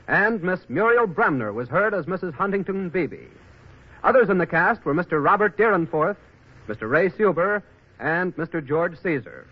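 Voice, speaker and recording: A man's voice: sits high, dull audio. A man announcing formally from a script in the style of 1940s radio. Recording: band-limited 1940s radio broadcast.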